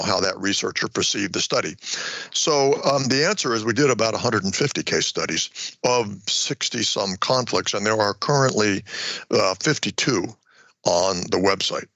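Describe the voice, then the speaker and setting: An older American man giving explanatory interview answers as an academic guest, halting and often repeating words as he goes. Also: lowish voice, pushed and bright, dry recording. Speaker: retired war college professor, research guest.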